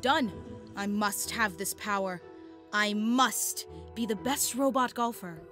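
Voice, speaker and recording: A woman's voice: medium pitch, slow, fairly bright tone, faint music behind. An actress playing an imperious, power-hungry female golf boss in an anime-style game, haughty and grandiose. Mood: impatient, hungry.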